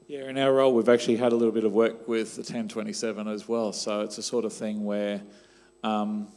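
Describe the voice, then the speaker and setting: A man's voice: fairly low pitch, level tone, brisk, a little mellow. Middle-aged Australian man interviewing on stage. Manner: conversational, with "um" and "sort of". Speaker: church leader interviewing a member. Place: church stage, large hall. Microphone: handheld mic.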